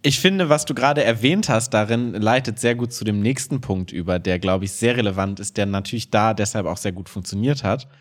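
A man's voice: lowish voice, a little fast, few pauses. Casual man chatting as co-host. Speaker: co-host of a theatre podcast. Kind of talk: casual conversational chat.

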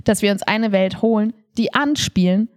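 A woman co-hosts a relaxed podcast conversation. She talks in everyday colloquial language and states her opinions with emphasis. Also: mid-range voice, normal speed. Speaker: podcast co-host and improv performer. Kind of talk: casual conversational podcast chat.

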